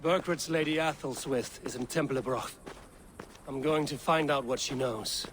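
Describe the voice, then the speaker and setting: A man's voice: mid-range voice, normal speed, background noise, natural voice. A male actor voicing a level-headed Viking raider in a video game, terse and commanding. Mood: measured. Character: stern, level-headed Viking raider, a seasoned warrior man. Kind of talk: dramatic video game acting.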